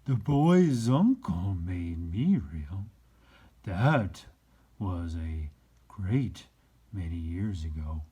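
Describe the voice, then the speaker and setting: A man voicing a wise, patient old toy horse in a storybook, speaking slowly with drawn-out emphasis. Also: sits low, very animated, warm tone, husky, dull audio, exaggerated voice. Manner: slow, drawn-out, emphatic delivery.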